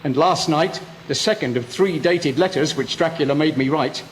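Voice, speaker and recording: Guy doing scared desperate young man trapped, theatrical radio drama, highish voice, hissy audio. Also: normal speed, close to an everyday voice, rough recording. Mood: grim dread. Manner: anguished, theatrical. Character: frightened, desperate young English male prisoner keeping a diary. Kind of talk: melodramatic radio drama acting.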